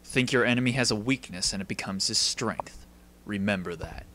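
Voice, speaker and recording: A man's voice: lowish voice, moderate pace, bright, forceful tone, slight background noise, voice pushed down. A man voicing a smug, commanding military officer with a theatrical, self-assured anime dub delivery. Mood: cool, sly.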